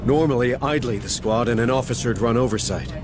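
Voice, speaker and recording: A man's voice: medium pitch, a little fast, background noise, natural voice. Man acting a blunt American Marine sergeant. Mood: commanding. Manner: blunt, commanding, folksy military talk. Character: gruff, stubborn male Marine sergeant in command. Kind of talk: video game voice acting.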